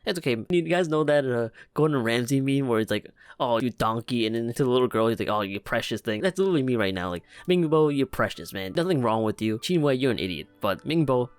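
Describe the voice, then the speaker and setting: A man's voice: medium pitch, expressive, fast talker, clean tone, no echo, faint music behind. Young man reacting casually, close dry mic. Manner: joking, slangy. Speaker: gaming YouTuber.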